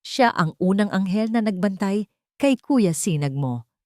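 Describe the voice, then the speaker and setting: A woman voicing a warm, reflective young wife and mother in an audio drama, speaking gently and wistfully. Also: mid-range voice, expressive, measured pace, no echo, clean studio sound, natural voice.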